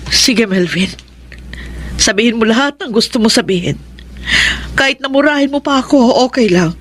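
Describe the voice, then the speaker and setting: A woman's voice: a little high, expressive, moderate pace, natural voice. An actress playing a repentant, patient mother, pleading and submissive in Filipino radio drama style. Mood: resigned, pleading. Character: repentant, patient mother seeking her son's forgiveness. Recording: noisy old radio drama recording.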